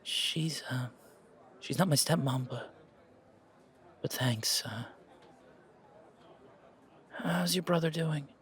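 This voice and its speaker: Man playing a stammering, shaken young man, higher-pitched. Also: fairly bright tone. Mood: subdued, awkward. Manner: stammering, halting, breathy. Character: shocked, grieving young man, hesitant and loyal. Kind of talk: audio roleplay acting.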